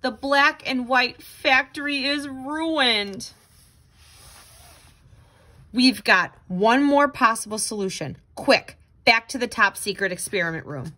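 A woman reading a children's picture book aloud in an expressive, dramatic, exclaiming way. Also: on the high side, takes it slowly. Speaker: storybook reader.

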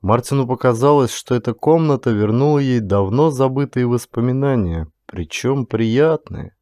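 A man's voice: mid-range voice, lively intonation, moderate pace, a little mellow, dry recording, studio quality. A man reading an audiobook as dramatic scripted narration.

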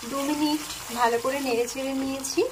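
A woman with a Bengali accent who hosts a cooking channel, calmly talking through a recipe step by step in her home kitchen. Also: high-pitched, fast, noisy background. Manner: calm, plain instructional tone, listing ingredients.

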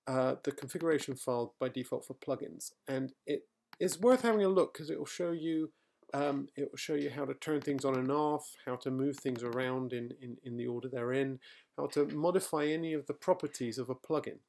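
Middle-aged man, gravelly voice, screencast tutorial, thinking aloud, typing and clicks behind. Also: mid-range voice, a little fast, darkish tone. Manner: thinking aloud, with fillers and self-corrections. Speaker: software trainer. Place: quiet home room.

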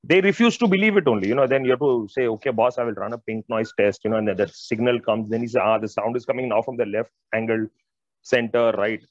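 A man's voice: medium pitch, fast, no room sound. Man, middle-aged, Indian accent, emphatic informal explainer, dry close sound, dull video-call audio. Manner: emphatic, repeats phrases, addresses listeners directly. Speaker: audio expert presenter. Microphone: wired earphones on a video call.

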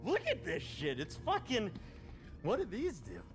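Spoiled young gangster, slightly high, lively swoops, cocky bro talk, game character. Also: male voice, measured pace, music in the background, exaggerated voice. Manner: foul-mouthed bro talk, hesitant bragging. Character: brash, spoiled young male cartel heir, excitable.

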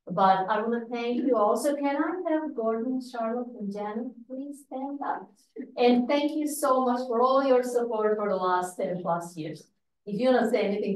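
A middle-aged woman from Croatia giving an academic seminar talk, lively, with frequent fillers. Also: medium pitch. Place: seminar room. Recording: echoey room, speaker far from mic.

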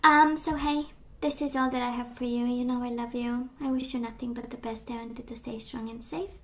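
A woman giving a casual tarot reading, chatty and reassuring, her voice strained as she loses it and clears her throat. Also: fairly high pitch, flat delivery, brisk, fairly bright tone. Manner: chatty, reassuring, many fillers and restarts. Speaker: tarot reader. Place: indoors at a table of tarot decks.

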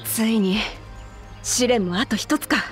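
A woman playing a brash, hearty young female adventurer in a Japanese video game, with rough, boyish, blunt speech. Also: on the high side, unhurried, close to an everyday voice. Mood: earnest, resolute. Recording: background music under the voice.

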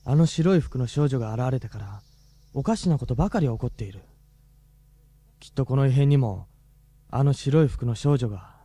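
An actor playing a level-headed, reassuring teenage schoolboy hero in a radio drama. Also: male voice, mid-range voice, lively intonation, moderate pace, long pauses, mellow, no echo, lightly acted. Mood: pensive.

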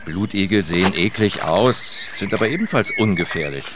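A man's voice: deep voice, lively intonation, moderate pace, heavy background noise. An actor playing a knowledgeable, practical male doctor who explains things reassuringly in an audio play. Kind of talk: audio drama acting.

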